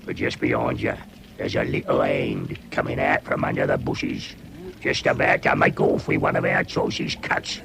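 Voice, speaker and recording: An actor playing a dim, argumentative troll in a radio drama. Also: male voice, a bass voice, moderate pace, creaky, noisy background, exaggerated voice. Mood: musing. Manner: blunt, grumbling, argumentative. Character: dim quarrelsome male troll with a soft streak.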